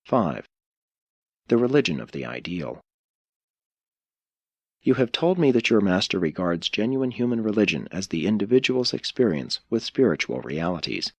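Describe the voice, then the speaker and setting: A man reading a philosophical religious audiobook in a measured, solemn, formal way. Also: on the low side, big pitch swings, no echo. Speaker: audiobook narrator. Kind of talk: scripted audiobook narration of a philosophical religious text.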